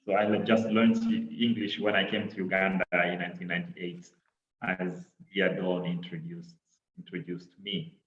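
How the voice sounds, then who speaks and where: A middle-aged man from Congo with a Congolese accent, his first languages Kiswahili and French, giving an online talk in a halting way full of repeats and "you know". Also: low voice, level tone. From Democratic Republic of Congo. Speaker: presenter. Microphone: laptop on a video call. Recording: echoey, dull laptop audio.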